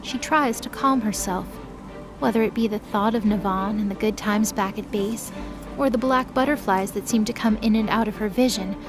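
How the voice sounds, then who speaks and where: A woman's voice: medium pitch, normal speed, music in the background. A woman giving scripted, dramatic narration as the narrator of an audio drama.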